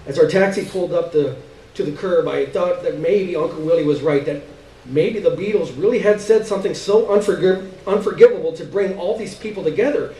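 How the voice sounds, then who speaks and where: A man reads literary fiction aloud with dramatic delivery. His voice sits a little high. It is captured from some distance in an echoey room. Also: fairly quick, dark tone. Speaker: story reader. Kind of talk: dramatic literary reading on stage. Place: live stage reading in a room. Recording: echoey room, distant mic, faint noise.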